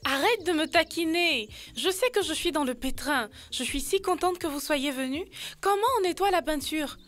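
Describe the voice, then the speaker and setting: A woman's voice: high-pitched, lively intonation, moderate pace, a bit bright, soft background music. A woman voicing an earnest, easily worried little girl in a French-dubbed children's educational cartoon, with a pleading, childlike delivery.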